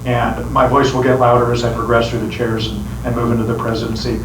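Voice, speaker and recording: Brief: man, sheriff pitching re-election to a crowd, earnest, restarts phrases, heard from across an echoey room with background noise. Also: flat delivery, fast, recorded from a distance. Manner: earnest, repeats words when starting phrases. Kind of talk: campaign speech to a meeting. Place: meeting room with an audience.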